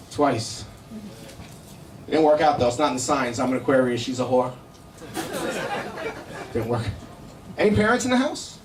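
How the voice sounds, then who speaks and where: A middle-aged man with a New York accent performing live stand-up comedy, brash and profane, bantering with the crowd in a conversational way. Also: high-pitched. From Brooklyn, New York, United States. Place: indoor comedy show in a cafe. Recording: echoey live room, miked from a distance.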